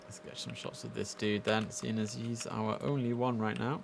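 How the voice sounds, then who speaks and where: Young guy chatting away on a game stream, bit of fry in his voice, keyboard clicks and faint noise behind. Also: fairly low pitch, flat delivery, moderate pace. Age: young adult. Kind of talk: casual live gameplay commentary. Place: indoors at a computer.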